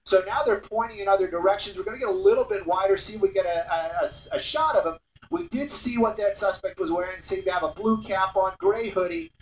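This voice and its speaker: High-pitched man reporting live over roomy link. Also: quick pace. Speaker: helicopter news reporter. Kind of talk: live breaking-news commentary. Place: airborne news helicopter. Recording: roomy, distant airborne radio link, noisy.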